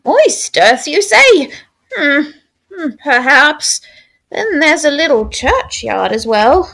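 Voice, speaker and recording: An actress as an old-fashioned mother in a ghost-story audio drama, anxious yet stubborn. Her voice is high and very expressive, and she speaks slowly and theatrically. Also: creaky, heavily acted. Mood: doubtful.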